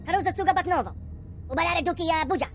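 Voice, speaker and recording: An actor voicing a small excitable alien creature in a video game, squeaky and babbling in a made-up tongue. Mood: agitated chatter. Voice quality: squeaky, cartoonish. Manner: rapid chattering babble. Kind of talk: video game character acting. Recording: music behind the voice.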